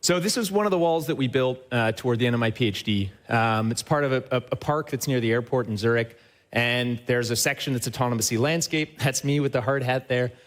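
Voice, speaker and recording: A man who heads a company, giving a lively talk on a trade show stage and speaking straight to the audience, often tagging his points with a quick question. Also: mid-range voice. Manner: upbeat and enthusiastic, often checks in with a tag question; addresses the audience directly. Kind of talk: live conference presentation.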